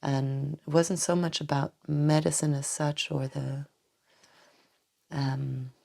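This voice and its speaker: A woman from Tanzania with a low, gravelly voice that stays dark and husky. She answers at a measured, hesitant pace, often breaking off and restarting her phrases before they trail off softly. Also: little pitch movement. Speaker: doctor and acupuncturist, podcast guest. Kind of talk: reflective interview answers. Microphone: podcast microphone.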